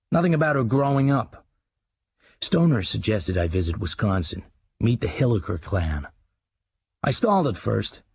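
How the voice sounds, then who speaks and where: An actor playing a brooding American crime writer, narrating his life in terse, intimate radio drama monologue with a husky, gravelly voice. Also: on the low side, darkish tone, dry recording, exaggerated voice. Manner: terse, hard-edged, intimate. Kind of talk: dramatised first-person scripted narration.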